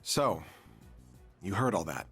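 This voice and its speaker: A man playing a composed, loyal, formal high school student in a video game. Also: sing-song, slow, fairly bright tone, soft background music, exaggerated voice.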